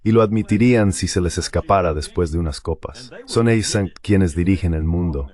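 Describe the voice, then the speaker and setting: A man's voice: deep voice, moderate pace, darkish tone. A man giving blunt political commentary as an interview guest, leaning on emphatic repetition.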